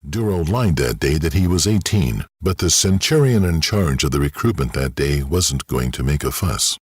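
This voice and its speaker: A man narrating a scripted dramatic story in a measured, wry storyteller's manner. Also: a bass voice, expressive, breathy edge, no room sound, clean studio sound. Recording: loud music behind.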